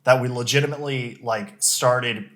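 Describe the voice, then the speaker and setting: A young adult man hosting a podcast on a clip-on mic, chatting casually and rattling off sponsor reads. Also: mid-range voice. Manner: animated, quick sponsor reads. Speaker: podcast host. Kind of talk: casual live-stream chat. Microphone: clip-on lapel mic.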